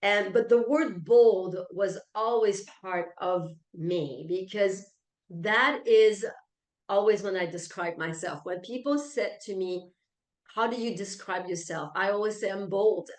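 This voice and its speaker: A woman's voice: medium pitch, measured pace. A woman from France with a French accent, a native French speaker, answering interview questions in an emphatic, self-assured conversational way. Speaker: interview guest, keynote speaker, coach and author. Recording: roomy, echoey sound.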